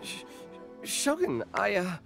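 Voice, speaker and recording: An eager young street vendor in an anime game. He is earnest and a little nervous, with lively, jumpy intonation and clear music behind him. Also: high-pitched, takes it slowly, full character voice. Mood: flustered. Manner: deferential, earnest, hesitant.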